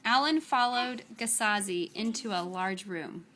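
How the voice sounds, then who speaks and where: A woman reads a children's story aloud in a bright, clear-cut storytelling style. Her phrasing is deliberate and dramatic, with pauses. There is some faint noise behind her. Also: medium pitch. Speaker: storyteller reading a picture book aloud. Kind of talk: expressive read-aloud narration of children's story.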